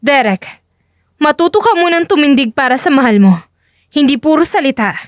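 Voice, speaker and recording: A woman's voice: sits high, expressive, moderate pace, no echo, clean studio sound. A woman voicing a cool, firm young female undercover agent in a dramatic audio story, curt and dismissive. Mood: stern, final.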